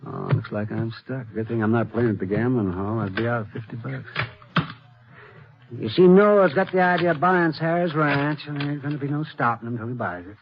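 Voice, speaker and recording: An American actor playing a chatty, gossipy small-town hotel clerk in an old radio western, folksy and eager to please, with a husky voice. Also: male voice, mid-range voice, a little fast, noisy background, lightly acted. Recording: muffled old radio recording.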